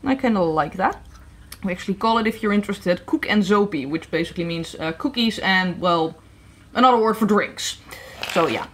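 A woman's voice: mid-range voice, expressive, fairly quick, slight background noise. A woman from the Netherlands chatting casually through a toy review, hesitant with many fillers and restarts. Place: indoor room at a tabletop set.

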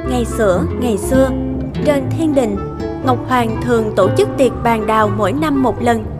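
Fairly high-voiced woman storyteller. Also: normal speed. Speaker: narrator. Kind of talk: scripted fairy-tale narration. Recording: loud music underneath.

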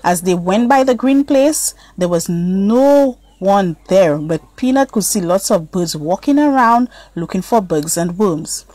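A woman's voice: medium pitch, lively intonation, a little slow, few pauses, mellow, dry recording, rough recording. A woman narrating a children's story as a scripted read-aloud.

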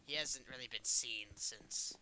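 A man's voice: mid-range voice, unhurried, sharp tone, a little hiss or hum, poor audio. A man playing a smug, taunting evil puppet killer in an improvised game role-play, with a nonchalant manner.

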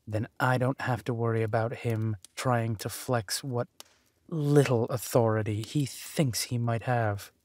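A man playing a ruthless, haughty duke in a villain audio drama, drawling deliberately and with menace. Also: mid-range voice, darkish tone, no echo, natural voice. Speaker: voice actor.

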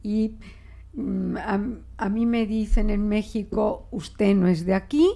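Woman, older, Spanish accent, slow, gravelly, reflective, small studio desk mic. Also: medium pitch. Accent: Spanish from Spain, adapted toward Mexican Spanish. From Spain. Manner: expressive, reflective, with frequent restarts and gestures. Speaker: guest linguist. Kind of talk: conversational interview. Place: small studio office, indoors. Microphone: desk microphone.

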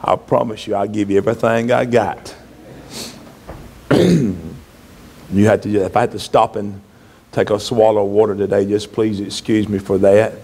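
A middle-aged man preaching a sermon from a church stage, his voice hoarse and partly gone. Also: on the low side, steady tone, moderate pace, pauses often. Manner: fervent, rising to shouts, gesturing widely. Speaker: pastor. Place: church stage, large hall.

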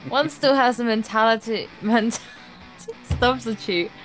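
A woman's voice: a little high, moderate pace, faint music behind, low-quality recording. Playful woman co-hosting a game playthrough. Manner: playful, sweary, stumbles when reading. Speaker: let's play co-host. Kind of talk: reading visual novel text aloud with casual banter.

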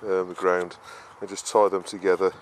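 A man giving a casual, explanatory gardening talk in a halting, unscripted way, as a vlogger would. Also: low voice, level tone. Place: outdoor vegetable garden and greenhouse. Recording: clearly noisy outdoor ambience, uneven distance.

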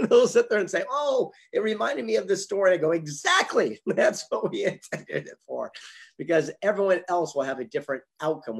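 A husky man in his fifties speaks as a motivational guest on an online interview. His voice sits a bit high for a man, and he tells anecdotes with emphasis, playing out both sides of the dialogue. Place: indoor home office with memorabilia wall. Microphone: video call, computer mic.

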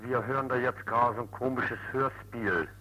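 Angry blunt guy phoning in a complaint, sharp bright voice, hissy 1950s tape. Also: medium pitch, normal speed. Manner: indignant, blunt. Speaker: complaining radio listener calling in. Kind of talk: phone complaint. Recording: noisy 1950s archive telephone recording.

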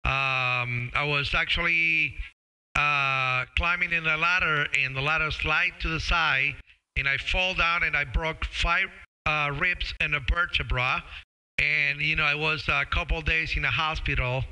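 Bright, clear middle-aged man. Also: a little high, fairly even intonation, poor audio. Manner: friendly, halting, calls listeners brother. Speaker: amateur radio operator and live streamer. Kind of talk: casual ham radio contact chat on a live stream. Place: small home radio room. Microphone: boom-arm studio microphone with headset.

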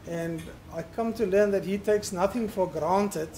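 High-voiced man giving a formal introduction. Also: a little hiss or hum. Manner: warm, halting with uh and um. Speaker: dean introducing the speaker. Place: large lecture hall. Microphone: podium microphone.

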